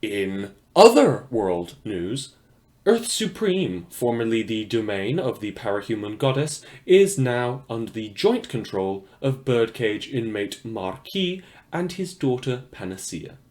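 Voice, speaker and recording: A man's voice: mid-range voice, sing-song, unhurried, a little mellow. A man playing a wry, awkward, self-deprecating small-time radio news host in a fiction podcast set after the apocalypse. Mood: theatrical, sardonic. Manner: rambling, self-deprecating, dryly sardonic.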